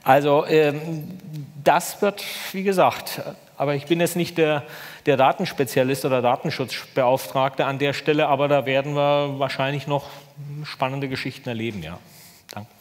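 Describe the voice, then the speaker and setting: A man's voice: mid-range voice, fairly even intonation, normal speed. A man in his fifties answering questions unscripted as a conference speaker, hesitant and repeating words for emphasis. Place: large hall with high ceiling. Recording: echoey large hall.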